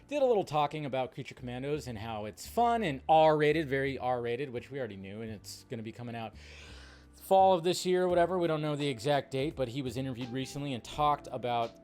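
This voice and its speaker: A man's voice: mid-range voice, expressive, fairly quick, darkish tone. A man giving casual, opinionated fan commentary as a film news host, emphatic, rambling and slangy. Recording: music behind the voice.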